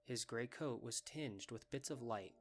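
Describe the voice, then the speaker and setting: A man's voice: mid-range voice, measured pace. A man narrating a scripted fantasy story for an anime fan dub.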